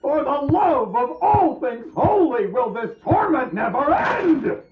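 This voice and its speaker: Jealous diva actor ranting, really high worked-up voice, tinny and far off. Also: male voice, very animated, takes it slowly, a little hiss or hum, exaggerated voice. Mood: furious, anguished. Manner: melodramatic, ranting. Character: jealous vain male actor ranting furiously in private. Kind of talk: scripted audio comedy acting. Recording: muffled phone-like distant echoey sound.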